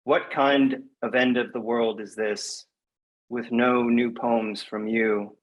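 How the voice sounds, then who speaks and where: A man speaking as a guest poet in a measured, reflective, slightly hesitant way, as at a reading or interview. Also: mid-range voice, fairly even intonation, poor audio. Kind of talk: poetry reading and conversational interview.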